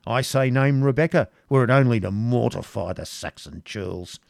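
A man's voice: medium pitch, animated, moderate pace, creaky, no room sound, studio quality. A man voicing a haughty, petulant prince in a storybook reading, with a pompous, emphatic delivery. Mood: flippant, insistent.

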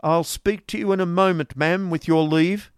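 A man doing a blunt, forceful stern gentleman. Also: high voice, fairly even intonation, moderate pace, clear, resonant tone, no echo, studio quality, pitched above the performer's own voice. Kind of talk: audiobook character voice.

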